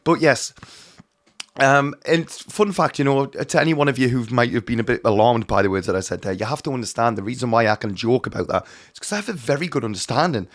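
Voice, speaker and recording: A hoarse man giving an earnest, emphatic teaching talk on mediumship, often calling his viewers his friends. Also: mid-range voice, fast. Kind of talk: direct-to-camera teaching talk.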